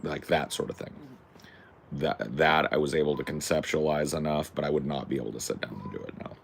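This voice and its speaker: A man with a deep, distinctive voice talking in a casual, rambling way, opinionated and emphatic, swearing freely. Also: fairly even intonation, brisk, vocal fry, slight background noise. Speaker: host.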